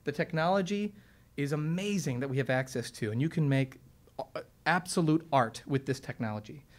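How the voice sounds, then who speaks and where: A man's voice: fairly high pitch, moderate pace. A man who sings opera talks casually and enthusiastically to an audience, explaining his craft with lots of fillers and restarts. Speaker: opera singer and presenter. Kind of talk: relaxed explanatory talk to an audience. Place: stage or studio with piano.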